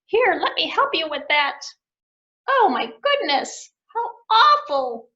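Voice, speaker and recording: A woman's voice: extremely high pitch, big pitch swings, takes it slowly, bright, forceful tone, pitched above the performer's own voice, heavily acted. A woman voicing fretful, kind-hearted little dwarves who exclaim and fuss. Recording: dull lo-fi recording.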